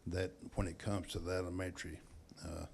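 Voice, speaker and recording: A man on a school board, speaking haltingly and repeating words. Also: sits low, little pitch movement, vocal fry, darkish tone, a little hiss or hum. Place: school board meeting room.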